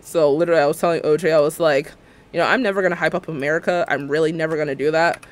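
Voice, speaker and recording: A man's voice: fairly high pitch, steady tone, very fast. A young man in his twenties ranting casually on a livestream, sarcastically mimicking other people's words. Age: 20s. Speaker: streamer. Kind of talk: casual livestream chat while building in a game.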